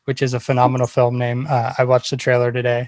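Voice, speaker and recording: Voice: mid-range voice, monotone, fast talker, darkish tone, dry recording. A podcast host of unclear sex chatting casually, with an informal, enthusiastic way of talking. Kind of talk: casual interview chat.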